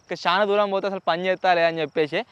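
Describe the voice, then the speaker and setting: Fast, high-pitched Telangana Telugu man. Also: steady tone, fairly bright tone, smooth, no echo, lo-fi sound. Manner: mixes English words into speech. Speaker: vlog host. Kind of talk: presenting a gadget test to camera. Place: outdoors in open area with trees.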